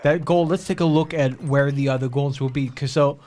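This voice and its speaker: A man's voice: fairly high pitch, fairly quick. Easygoing man with mixed American accent. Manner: relaxed, thinks aloud with fillers. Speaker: co-caster. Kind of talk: casual game commentary.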